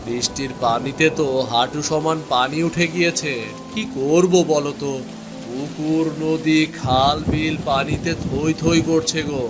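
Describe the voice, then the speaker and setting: A man acts out a poor village father for a cartoon. His voice sits a little high and sounds plaintive and careworn, with music playing clearly behind him. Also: steady tone, unhurried, lightly acted. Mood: worried. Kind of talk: Bengali cartoon dubbing.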